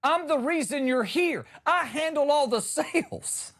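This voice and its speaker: A man's voice: very high voice, expressive, measured pace, gravelly, fairly bright tone. A man hosting a comedy show, delivering a conversational monologue with exasperated, emphatic punchlines.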